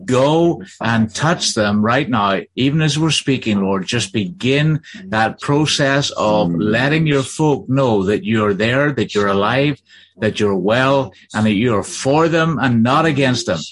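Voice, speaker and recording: Church guy opening the service, asks folks to share the stream then prays slow. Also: medium pitch, lively intonation. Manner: slow, fervent praying. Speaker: church leader leading prayer. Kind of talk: opening announcements and prayer.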